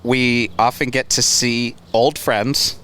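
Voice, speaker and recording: A man's voice: medium pitch, steady tone, slow, sharp tone. A man co-hosting a casual comedy chat outdoors in an enthusiastic, agreeable way. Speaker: co-host, comedy teacher.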